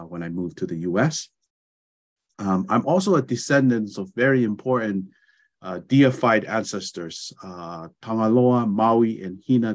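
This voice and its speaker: A middle-aged man from Tonga with a Tongan accent, giving a lecture over a video call, speaking hesitantly with many fillers and restarts. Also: fairly low pitch. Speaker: guest presenter, anthropology professor. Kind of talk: online lecture with slides. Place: home study with bookshelves.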